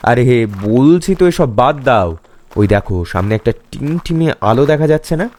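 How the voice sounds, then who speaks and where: A man's voice: mid-range voice, lively intonation, a little fast, a little mellow, lightly acted. A man doing a brash, confident townsman. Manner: assured, dismissive of fear. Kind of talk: animated horror story acting.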